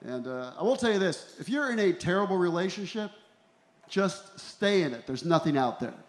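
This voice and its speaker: A man in his forties doing a deadpan, self-deprecating stand-up routine into a handheld stage microphone. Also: high voice, moderate pace. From Ecuador and El Salvador. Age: 40s. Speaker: stand-up comedian. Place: small comedy venue in a tent, on stage. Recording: roomy live comedy club sound.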